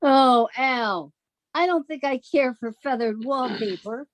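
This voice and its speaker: A woman's voice: high voice, slow pace. A woman playing a sensible, wisecracking, exasperated young roommate in a 1940s-style radio comedy. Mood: exasperated, wry.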